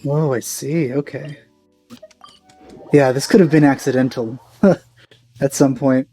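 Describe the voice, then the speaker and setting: A man with a medium-pitched voice chats casually over gameplay as a let's play host. He is offhand and rambles hesitantly, with faint music underneath. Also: steady tone, slow, warm tone, breathy edge. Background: faint game music.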